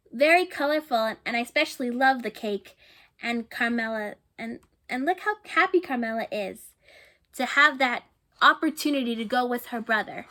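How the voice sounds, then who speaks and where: A woman with a high, light voice reads a children's storybook aloud. She pauses to think aloud and to put questions to her young listeners. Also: fairly bright tone. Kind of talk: picture book read-aloud with asides to listeners.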